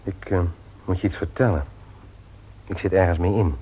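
A man's voice: sits low, moderate pace, mellow, a little hiss or hum, lightly acted, poor audio. A male radio play actor playing a conscientious family man, speaking naturally, hesitantly and earnestly. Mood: casual, confiding. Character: conscientious, troubled family man and office worker, jovial host. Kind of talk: naturalistic Dutch radio drama acting.

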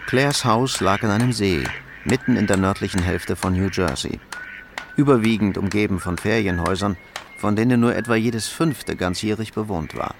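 An actor as the narrator of a crime radio drama, measured, composed and matter-of-fact. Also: male voice, lowish voice, background noise, lightly acted. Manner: measured, literary storytelling.

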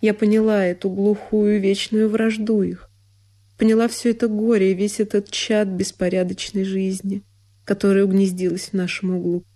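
An actress playing a sorrowful young woman who recalls a lonely childhood, in an intimate, deliberate radio monologue. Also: mid-range voice, little pitch movement, dark tone, natural voice. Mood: wistful, pained. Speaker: solo actress. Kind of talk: dramatic literary monologue for radio. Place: studio radio production. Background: music.

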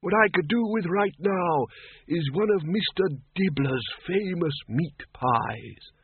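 A man voicing a cheerful, very old male wizard who talks slowly and rambles amiably. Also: high-pitched, lively intonation, breathy edge, no room sound, voice pushed up, heavily acted. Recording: narrow phone-like sound, dry.

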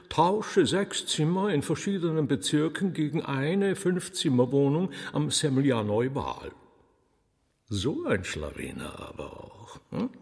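An actor playing a sly, chatty demon magician in a radio drama, with an Austrian accent, a gravelly husky voice and theatrically courteous, rambling delivery. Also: male voice, on the high side, heavily acted. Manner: rambling, chuckling, theatrically courteous, drawn-out words. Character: sly, chatty demon magician, former choirmaster, showman servant. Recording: long hall reverb.

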